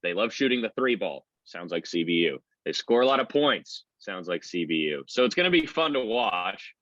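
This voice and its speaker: Low-voiced man talking sports, dull audio. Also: fairly bright tone, no room sound. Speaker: sports radio play-by-play announcer. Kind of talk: conversational sports analysis in a podcast interview.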